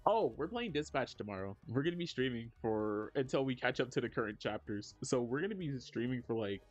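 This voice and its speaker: A man in his thirties streaming as a digital artist, chatting casually with his viewers while he draws. He rambles and uses lots of fillers, and his voice jumps up in pitch when he reacts to the chat. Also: expressive, a little fast, muffled recording, faint music behind. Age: 30s.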